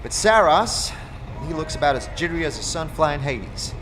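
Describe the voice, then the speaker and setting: A man voicing a brisk, weary woman who supervises a foundry floor in a fantasy audio drama. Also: on the high side, expressive, noisy background, voice pushed up, natural voice. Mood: weary, businesslike.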